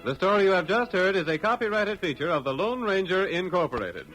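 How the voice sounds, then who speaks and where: A man's voice: sits high, big pitch swings, brisk, low-quality recording. An American man announcing a classic radio show in a dramatic, declamatory vintage style. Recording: vintage radio, loud music behind. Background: loud music.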